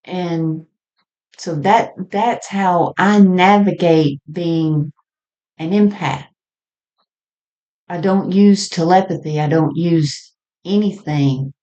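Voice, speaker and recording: A woman with a low-pitched voice talks through her spiritual ideas alone, as a podcast host. Her delivery is very slow and reflective. She is halting, often letting a thought trail off before picking up the next. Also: mellow, muffled recording. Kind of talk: unscripted spiritual monologue.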